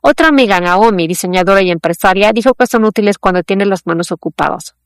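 A woman's voice: mid-range voice, fast talker, clear, resonant tone, no room sound, clean studio sound. Woman reading a news feature. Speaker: news article reader. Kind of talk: read-aloud news feature.

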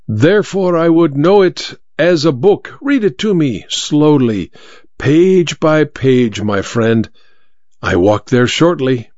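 A man voicing a vain, theatrical blind stage magician, speaking high and showy. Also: lively intonation, slow, darkish tone, no echo, highs cut off. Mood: commanding.

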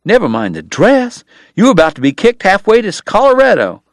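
A man's voice: mid-range voice, little pitch movement, brisk, no echo, clean studio sound, close to an everyday voice. A man doing a stern, ailing frontier gambler. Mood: alarmed. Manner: curt, commanding.

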